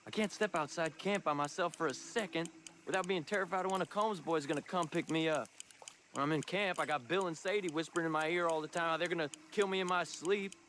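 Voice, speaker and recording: An actor playing an anxious, timid young outlaw in a video game, with a rural American accent and a nervous, folksy, stammering manner. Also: male voice, fairly high pitch, brisk, fairly bright tone, slight background noise, natural voice. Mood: fearful, complaining. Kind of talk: video game cutscene dialogue.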